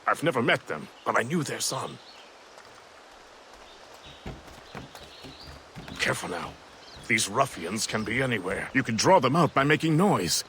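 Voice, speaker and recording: A man playing a courteous, seasoned sailor in a video game, with a measured, formal way of speaking. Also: medium pitch, big pitch swings, sharp tone, a little hiss or hum. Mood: cautioning. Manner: measured, formal, polite phrasing. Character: courteous, seasoned male sailor and ally offering service. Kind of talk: video game dramatic acting. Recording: game music behind the voice.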